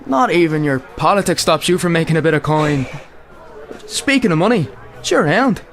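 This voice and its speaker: A man's voice: on the high side, animated, normal speed, fairly bright tone, faint music behind. A man with an Irish accent acting an earnest, plain-spoken Irish soldier in a radio play, in narration and dialogue. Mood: teasing banter. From Ireland. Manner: reflective first-person storytelling. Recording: faint noise and background ambience.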